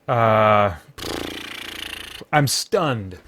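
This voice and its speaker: An American man hosting an unscripted movie review in a halting way, searching for words and letting thoughts trail off. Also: fairly low pitch, animated, fairly bright tone, slight background noise. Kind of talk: unscripted, off-the-cuff film review.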